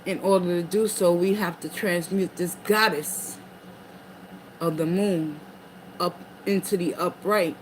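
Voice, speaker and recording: A woman's voice: on the low side, fairly even intonation, unhurried, poor audio. A woman giving a tarot reading, reading card meanings aloud and dropping in casual asides to her viewers. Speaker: tarot reader. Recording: noticeable background noise.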